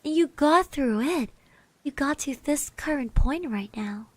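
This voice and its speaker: Woman playing a soft, slow-talking shy schoolgirl classmate. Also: high-pitched, animated, no room sound. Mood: tender, encouraging. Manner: hesitant, bashful, stammering, reassuring. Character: shy, kind eighteen-year-old girl classmate giving encouragement. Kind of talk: ASMR roleplay. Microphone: close microphone.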